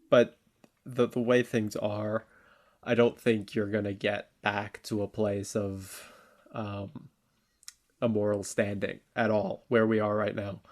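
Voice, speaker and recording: A man co-hosting a podcast, discussing politics casually in a halting, informal way and often repeating words. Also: lowish voice. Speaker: podcast co-host debating politics.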